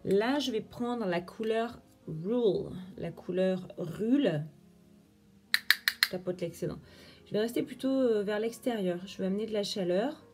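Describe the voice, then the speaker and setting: A woman's voice: mid-range voice, a little slow, over music. A young woman chatting through a makeup tutorial, explaining each step as she works. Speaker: beauty vlogger.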